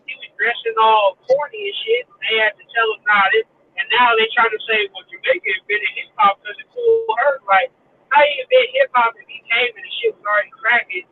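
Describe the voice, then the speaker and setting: Guy with a really high voice ranting over a tinny far-off phone line, faint hiss. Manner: animated, emphatic ranting. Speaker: host. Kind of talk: casual call-in chat.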